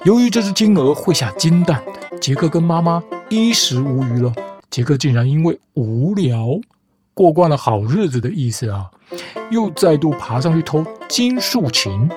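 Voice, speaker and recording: Man, podcast host, playful, mock-reasonable, lively intonation, guitar music behind. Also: fairly high pitch, normal speed. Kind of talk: storytelling commentary.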